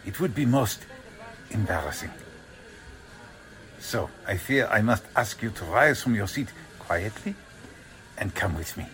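An actor playing a coldly polite Nazi agent in a radio drama, with a German accent and formal, deliberate, threatening phrasing. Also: male voice, lowish voice, animated, a bit bright, rough recording. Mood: quiet menace. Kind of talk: 1940s radio drama acting.

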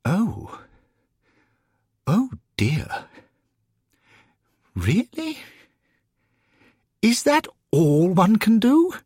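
Guy doing a polite confused victorian gentleman in a story, high and wavering up and down, slow, apologetic. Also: huge pitch swings, husky, dry recording, clean studio sound, full character voice. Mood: bewildered. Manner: hesitant, courteous, apologetic. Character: polite, bewildered Victorian gentleman, newly dead.

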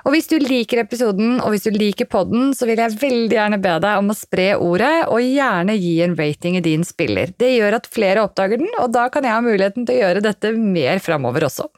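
A woman's voice: mid-range voice, expressive, quick pace, hardly any pauses, dry recording, clean studio sound. A woman podcast host reading a friendly, upbeat scripted sign-off.

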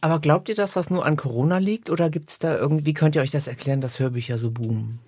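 A man's voice: a little high, quick pace, a little mellow, slightly rough, no room sound, studio quality. A man, hosting a conversational podcast interview.

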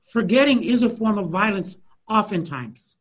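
A man's voice: sits high, animated, moderate pace, fairly bright tone. A man, an author, answering audience questions in a thoughtful, conversational way, with many fillers and restarts as he searches for words.

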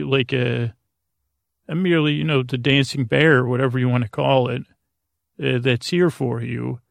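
A man with a rusty, gravelly voice hosting a bedtime podcast, meandering absent-mindedly through halting tangents and often interrupting himself. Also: medium pitch, no echo, studio quality. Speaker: podcast host. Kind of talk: rambling bedtime monologue.

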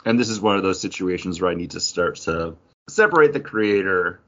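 Man, low husky voice, opinionated casual chat, casually profane, dull lo-fi sound. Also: expressive, a little fast, fairly bright tone. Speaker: podcast co-host. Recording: dull lo-fi audio.

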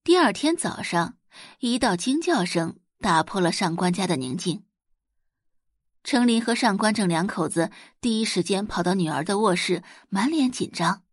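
A woman's voice: mid-range voice, animated, moderate pace, no room sound, studio quality. A woman reading scripted dramatic narration as an audiobook narrator.

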